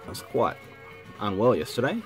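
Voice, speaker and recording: An Australian man talks casually to his viewers in a low voice that sounds congested from a head cold. He rambles, backtracks and clears his throat often, while soft music plays in the background. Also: big pitch swings, moderate pace. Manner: rambling, self-correcting, frequent ums and throat clearing. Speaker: vinyl collecting vlogger. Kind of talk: casual rambling chat to camera. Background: music playing softly.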